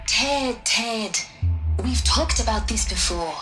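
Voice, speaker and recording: An actress playing a reasoning female scientist in a video game, measured and placating. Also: fairly high pitch, lively intonation, music in the background. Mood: pleading, placating. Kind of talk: dramatic video game acting.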